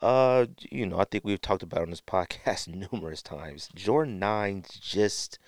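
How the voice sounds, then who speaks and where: A man's voice: low-pitched, expressive, moderate pace, no echo, clean studio sound. A man hosting a podcast solo in a casual, rambling, slangy way, sniffling a little with a stuffy nose. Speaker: podcast host.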